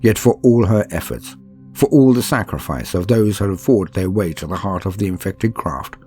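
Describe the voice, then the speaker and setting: A man reading a book aloud as a calm, steady narrator, in a soothing, measured way suited to falling asleep. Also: deep voice, faint music behind. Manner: measured, soothing, unhurried storytelling.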